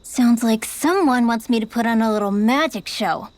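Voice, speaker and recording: Woman playing a high-pitched, feisty teenage mage girl. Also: moderate pace, no room sound, close to an everyday voice. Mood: huffy. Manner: snippy, dismissive. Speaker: voice actor in a fantasy video game. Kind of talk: video game cutscene dialogue.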